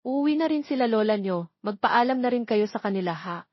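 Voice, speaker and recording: A woman's voice: fairly high pitch, expressive, brisk, no room sound, dull audio. Woman playing a tender, reassuring mother. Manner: affectionate, soothing. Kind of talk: audio romance story character dialogue.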